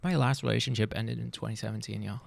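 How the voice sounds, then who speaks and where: A man in his thirties chatting casually on a live stream, rambling and joking as he reacts to a video, his voice swinging between loud and soft. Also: on the low side, fairly even intonation, fast talker, gravelly.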